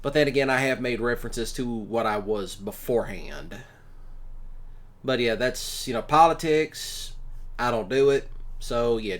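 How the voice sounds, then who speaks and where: Man, mid-range voice, folksy and blunt, casual rambling storyteller. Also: medium pitch. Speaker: ASMR roleplay creator and commentator.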